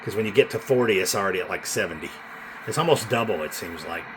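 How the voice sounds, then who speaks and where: Man, fairly deep voice, informal seller's walkthrough, halting delivery, noisy hissy recording. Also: expressive. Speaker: CB radio seller. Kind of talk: casual product demonstration. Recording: noisy room with radio hiss.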